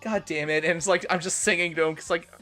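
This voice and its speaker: A man's voice: fairly high pitch, fairly even intonation, fast talker, bright, forceful tone. A man livestreamer doing a theatrical, joking dramatic reading with casual, profane asides to his chat, over music. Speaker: livestreamer and host.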